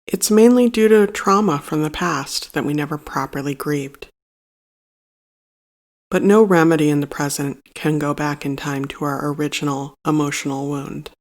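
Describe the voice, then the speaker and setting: A meditation guide reading a scripted narration in a measured, solemn and instructive manner. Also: medium pitch.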